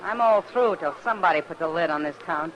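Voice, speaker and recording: A woman's voice: fairly low pitch, fairly quick, highs cut off, natural voice. An actress with an American accent playing a frank, weary frontier saloon woman in an old-time radio western. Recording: noisy old radio recording, outdoor street scene.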